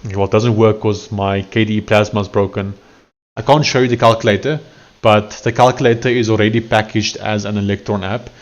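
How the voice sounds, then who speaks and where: A man's voice: on the low side, steady tone, a little fast, dull audio. A man from South Africa with an Afrikaans accent, chatting casually to a live-stream audience while he works on screen, rambling and correcting himself as he goes.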